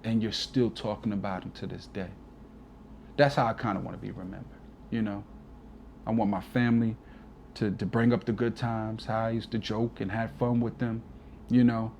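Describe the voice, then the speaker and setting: A man's voice: lowish voice, steady tone, moderate pace, a little mellow, a little hiss or hum. A man in his forties, a DJ guest on an interview, talking earnestly and from the heart, often adding "you know". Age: 40s. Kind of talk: casual reflective interview talk.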